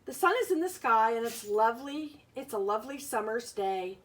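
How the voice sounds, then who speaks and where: A woman's voice: on the high side, expressive, normal speed, fairly bright tone, poor audio. A woman teacher reading a picture book aloud, explaining things simply and putting questions to young children. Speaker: schoolteacher reading aloud to pupils.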